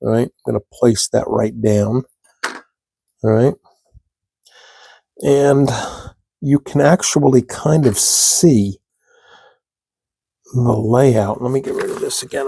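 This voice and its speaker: A man with a froggy, allergy-rough throat, slowly talking viewers through a model-building step in a casual, halting way. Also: medium pitch, dark tone, no echo. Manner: slow, halting, talks to viewers while working. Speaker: scale model hobbyist demonstrating. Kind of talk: casual hands-on tutorial.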